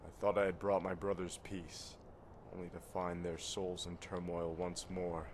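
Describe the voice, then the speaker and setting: Grave fantasy game warrior, very low voice, solemn formal speech, noisy background. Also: male voice, level tone, unhurried. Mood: grieving, solemn. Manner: solemn, formal, archaic phrasing. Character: grave, honour-bound warrior of a sworn order, grieving. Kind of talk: fantasy video game dialogue.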